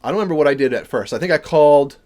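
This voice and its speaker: A man telling a personal story in a casual, animated way, acting out bits of dialogue as he goes. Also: a little high, racing. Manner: acts out dialogue within the story.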